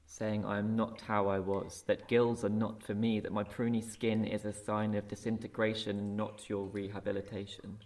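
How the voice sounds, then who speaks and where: A man's voice: on the low side, flat delivery, moderate pace, few pauses, a little mellow. A man reading aloud in a spoken-word poetry recital. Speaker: poetry reader.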